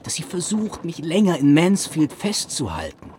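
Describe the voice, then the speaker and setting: Conceited young gentleman with animated delivery. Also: on the high side, moderate pace, slight background noise. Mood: musing, self-assured. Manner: self-assured, theatrical, playful phrasing. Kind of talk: period literary radio drama.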